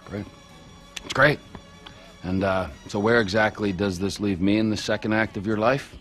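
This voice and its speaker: A man playing a volatile middle-aged North American criminal in a video game, gravelly, needy and menacing, in erratic profane rants. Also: on the low side, fairly even intonation, normal speed, a bit bright, faint music behind, close to an everyday voice. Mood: wounded rage. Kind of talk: video game cutscene acting.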